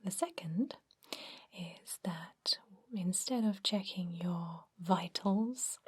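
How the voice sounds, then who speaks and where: A woman whispering softly and reassuringly in an ASMR roleplay as a kindly vampire doctor. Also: on the low side, husky. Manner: soft, reassuring, unhurried whisper. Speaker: ASMR performer playing a doctor.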